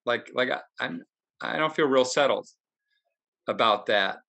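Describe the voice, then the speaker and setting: A man's voice: mid-range voice, a little slow, gravelly, pushed and bright. A man on a video call, thinking aloud in a hesitant, searching way during a book discussion. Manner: hesitant, searching, restarts often. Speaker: discussion participant. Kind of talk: casual discussion. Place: room with shoji screen, indoors.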